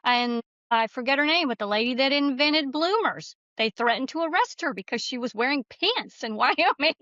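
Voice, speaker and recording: A woman's voice: high voice, animated, moderate pace, fairly bright tone, no echo. A woman speaking as an author and podcast guest, telling stories in a casual, conversational way on a slightly lo-fi recording. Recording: slightly dull, lo-fi sound.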